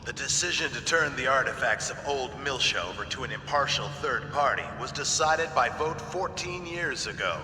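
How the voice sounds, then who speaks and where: A man's voice: medium pitch, expressive, moderate pace, hardly any pauses, music in the background, lightly acted. A man playing an earnest, reasoning parliament delegate in a video game, arguing and explaining. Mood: earnest, defensive. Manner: argumentative, explanatory. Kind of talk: video game cutscene acting. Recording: noisy echoey chamber, long reverb.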